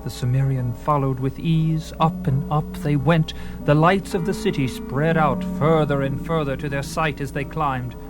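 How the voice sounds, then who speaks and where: A man's voice: on the high side, fairly even intonation, moderate pace, hardly any pauses, rough recording. A man giving theatrical, suspenseful narration of a dramatized story. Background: music.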